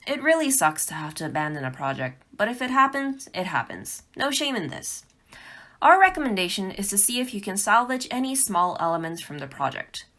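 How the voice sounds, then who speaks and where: Woman, bright forceful voice, game developer, instructional presentation, emphatic delivery. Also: mid-range voice, sing-song, brisk. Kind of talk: pre-recorded instructional talk.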